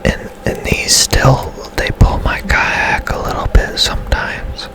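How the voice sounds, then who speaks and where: Slow whispering man, ASMR game commentary. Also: pushed and bright. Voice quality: breathy, unvoiced whisper. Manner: casual, unhurried, halting. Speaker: gaming channel host.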